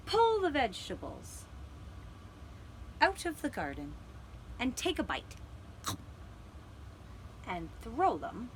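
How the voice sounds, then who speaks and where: Lady doing a gentle kids puppet show story, highish voice, really slow with dramatic pauses. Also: a little hiss or hum, low-quality recording.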